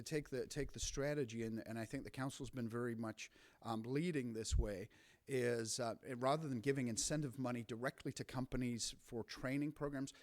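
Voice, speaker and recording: A city official, a man, answering a council member in a chatty, lively way with frequent restarts. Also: medium pitch. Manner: conversational, animated, frequent restarts. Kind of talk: formal meeting remarks. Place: council chamber.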